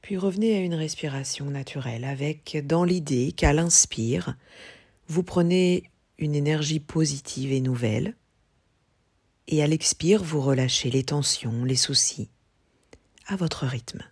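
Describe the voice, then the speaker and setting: Female meditation guide: very unhurried, slightly breathy husky voice, calm instructions, long pauses. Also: deep voice. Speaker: yoga teacher. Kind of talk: guided yoga nidra meditation.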